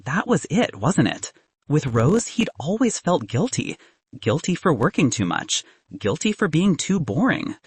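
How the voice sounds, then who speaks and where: A man's voice: on the high side, big pitch swings, measured pace, husky, no echo. A man reading scripted fiction as a narrator, moving between narration and acted dialogue. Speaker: audiobook narrator.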